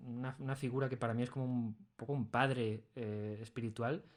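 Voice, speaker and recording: A man's voice: mid-range voice, steady tone, fairly quick. A man with an accent from Spain chatting casually as a studio interview guest, rambling enthusiastically. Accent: Spanish from Spain. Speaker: interview guest, audio drama creator. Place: recording studio.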